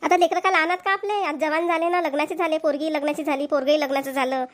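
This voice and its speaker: A headstrong, talkative village mother from a cartoon comedy, acted by a woman. Her voice is very high, and she argues back in colloquial Varhadi Marathi. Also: steady tone, fast, natural voice. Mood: defiant. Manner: argumentative, colloquial.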